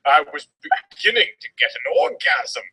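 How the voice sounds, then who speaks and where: A man's voice: on the high side, sing-song, moderate pace, sharp tone, slightly rough, poor audio. A man giving a comic dramatic reading of fan fiction in a theatrical, exaggerated manner.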